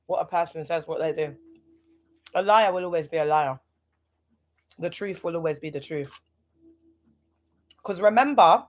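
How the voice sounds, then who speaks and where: A woman hosting a livestream, talking in a casual, animated way, full of rhetorical asides. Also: lowish voice. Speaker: livestream host.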